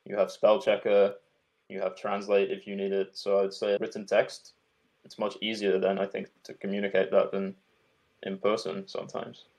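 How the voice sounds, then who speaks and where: A man with a Yorkshire accent, a guest speaking frankly and relaxed in a casual interview. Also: lowish voice, normal speed, poor audio. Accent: Yorkshire English. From Yorkshire, England.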